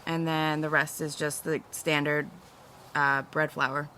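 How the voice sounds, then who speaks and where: A young woman from upstate New York, a baker, chatting plainly and relaxed about her bagels in an interview. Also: sits low, a little slow, slight background noise, poor audio. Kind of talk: casual interview chat.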